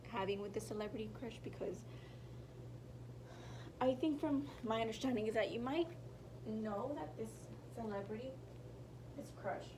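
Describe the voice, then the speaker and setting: A young woman talks through a tarot reading in a nasal, worn-out voice, often stopping to correct herself. She sits back from the mic in an echoey room. A steady hiss is clearly heard under her voice. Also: fairly high pitch, moderate pace, long pauses, recorded from a distance. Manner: rambling, self-correcting, apologetic, drops into whispers. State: nasal and tired from a sinus infection. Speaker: tarot reader. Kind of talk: casual pick-a-card tarot reading.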